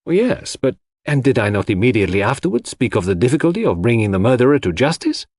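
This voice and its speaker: A man's voice: medium pitch, very animated, fast, a little mellow, no echo, studio quality. A man voicing a shrewd, self-assured foreign detective who explains in a theatrical, didactic way in an audiobook. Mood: teasing, patient. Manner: theatrical, didactic, sprinkles French phrases.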